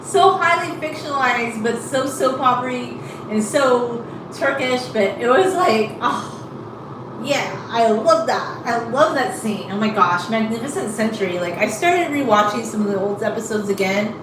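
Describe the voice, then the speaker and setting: Rambly chatty woman combing her hair, highish voice, roomy sound a bit off mic. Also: expressive, normal speed, recorded from a distance, noisy background. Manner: chatty, rambling, many fillers. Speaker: vlogger. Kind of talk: casual chat while combing hair.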